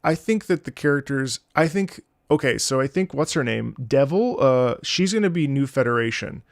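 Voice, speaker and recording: A man's voice: mid-range voice, animated, normal speed, gravelly, no room sound, clean studio sound. A man giving casual, off-the-cuff commentary on a game as a streamer, rambling and thinking aloud with enthusiasm.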